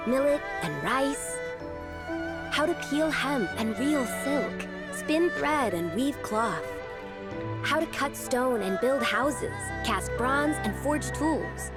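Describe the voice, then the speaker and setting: A woman's voice: sits high, expressive, slow pace. A woman voicing an earnest, devout young girl in a video game, explaining things with awe. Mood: reverent, eager. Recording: loud music behind the voice.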